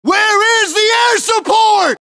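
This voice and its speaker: A man's voice: very high-pitched, takes it slowly, bright, forceful tone, raised voice, heavily acted. An actor playing a US Marine infantryman in a military shooter game, barking urgent battle orders and callouts. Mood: commanding. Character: young hard-charging US Marine infantryman in battle.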